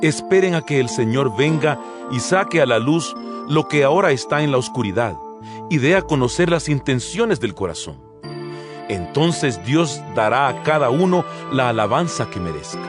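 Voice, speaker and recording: An actor playing the earnest, exhorting apostle Paul in a dramatized Bible reading, solemn and measured, with rhetorical delivery. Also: male voice, medium pitch, natural voice. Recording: music bed behind the voice.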